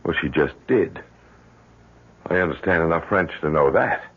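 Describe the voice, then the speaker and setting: A man's voice: low-pitched, expressive, breathy edge, narrow, tinny audio. An actor playing a worried, insistent middle-aged father who stumbles and repeats himself, in 1970s radio drama style. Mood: bewildered. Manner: halting, repeats and stumbles over words. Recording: thin, band-limited 1970s radio recording.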